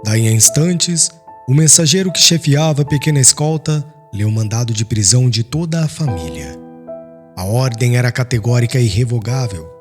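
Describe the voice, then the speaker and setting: A man's voice: mid-range voice, expressive, moderate pace. Solemn Brazilian male audiobook narrator. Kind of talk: solemn scripted literary narration. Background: soft music.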